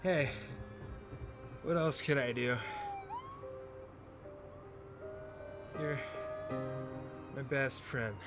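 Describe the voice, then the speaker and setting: A man's voice: mid-range voice, slow pace, over music. A young man playing a bitter, unstable best friend turned villain in a superhero audio drama, theatrical and sardonic. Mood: weak, tender. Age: young adult.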